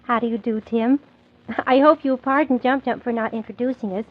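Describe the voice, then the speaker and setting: A woman playing a kindly young storybook hostess in a 1940s children's radio drama, sweet, welcoming and gently indulgent. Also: fairly high pitch, steady tone, moderate pace, lightly acted. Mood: welcoming, amused. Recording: muffled 1940s radio transcription.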